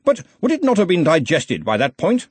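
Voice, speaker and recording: A man's voice: on the high side, wildly swooping pitch, brisk, husky, no room sound, pitched above the performer's own voice, full character voice. A man voicing a brash, cheerful, domineering wizard arch-chancellor in a storybook, blustery and commanding. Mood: brisk questioning.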